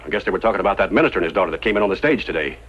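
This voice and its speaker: An actor playing a wary, gruff frontiersman in a 1950s radio western, with a folksy, excitable manner. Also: low-pitched, racing, heavily acted. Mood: curious. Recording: muffled old radio recording.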